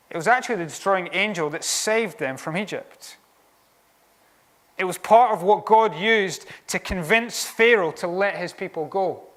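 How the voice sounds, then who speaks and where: High-voiced Scottish preacher. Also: male voice. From Scotland. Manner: animated, conversational, wry asides. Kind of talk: sermon.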